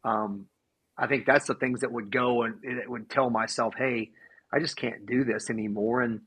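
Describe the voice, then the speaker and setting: A man's voice: medium pitch, fairly even intonation, fairly bright tone, breathy edge, rough recording. A man in his forties, a racing driver and team owner, answering earnestly in a casual podcast interview, often restarting his sentences. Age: 40s. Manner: earnest, halting with many restarts.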